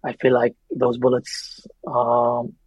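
A man's voice: medium pitch, monotone, slow pace, a little mellow, breathy edge, dry recording, rough recording. A man with a Somali accent, a native Somali speaker, talking reflectively as an interview guest, earnest and emphatic, often repeating his phrases. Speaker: interview guest, memoir author.

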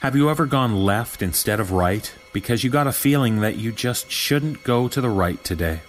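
A man playing a young security guard who recounts an eerie discovery in a deliberate, suspenseful horror podcast narration. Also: medium pitch, expressive, soft background music, natural voice. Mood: uneasy, suspenseful. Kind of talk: scripted horror story narration.

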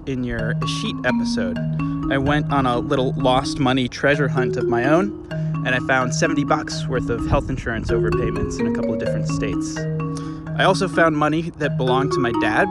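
A man leaving a friendly recorded message of thanks for a podcast. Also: medium pitch. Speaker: listener caller.

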